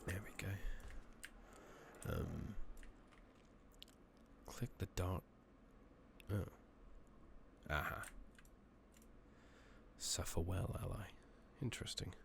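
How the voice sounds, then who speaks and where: A man doing soft-spoken, partly whispered ASMR commentary over a video game, rambling casually with mild swearing, on a noisy makeshift microphone. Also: low-pitched, extremely slow, pauses often. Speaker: game streamer playing an online fantasy game. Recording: noisy makeshift microphone, clear background noise.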